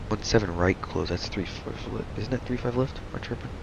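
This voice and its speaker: A man's voice: lowish voice, fairly quick, gravelly. A young man casually talking through a flight simulator stream, laid-back and rambling, saying he is losing his voice. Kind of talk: casual live-stream commentary. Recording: muffled stream audio with noise.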